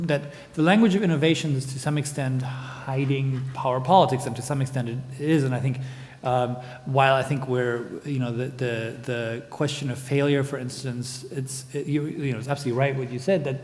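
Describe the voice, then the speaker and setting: A man from Germany, speaking as a panelist in a thoughtful, digressive way and often adding "right?". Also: mid-range voice. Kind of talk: panel discussion. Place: conference hall. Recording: long hall reverb.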